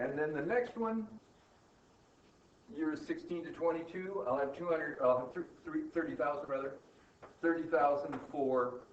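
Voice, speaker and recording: Male teacher, conversational explainer who checks understanding; muffled, roomy recording. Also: medium pitch. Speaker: finance teacher. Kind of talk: informal classroom explanation, thinking aloud. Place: indoor classroom. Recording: echoey room, distant mic, muffled, faint noise.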